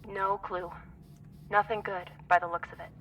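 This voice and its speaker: A woman's voice: mid-range voice, flat delivery, moderate pace, distant mic, lo-fi sound, a little hiss or hum, lightly acted. A woman playing a wry, sceptical space scavenger crewmate in a video game cutscene, with dry delivery. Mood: curious, dry. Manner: dry, sardonic.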